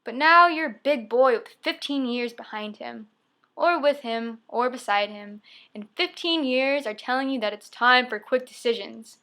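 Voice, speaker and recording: A woman's voice: fairly high pitch, moderate pace, sharp tone. A young woman performing a spoken-word poem, reciting expressively in short, emphatic phrases. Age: young adult. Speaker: poet. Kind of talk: spoken-word poetry reading.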